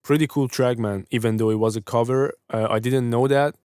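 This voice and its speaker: A man's voice: mid-range voice, fairly even intonation, fairly quick, darkish tone, smooth, dry recording, studio quality. A young man hosting a music review livestream, giving casual mixing feedback, swearing freely and stuttering now and then. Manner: laid-back, swears casually; stutters at times.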